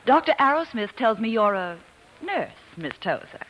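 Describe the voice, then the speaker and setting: A woman's voice: on the high side, very animated, moderate pace, fairly bright tone, rough recording. An actress playing a genteel, snobbish young society woman in a 1940s radio drama, coolly polite and condescending. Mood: icily polite. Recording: vintage 1940s radio broadcast.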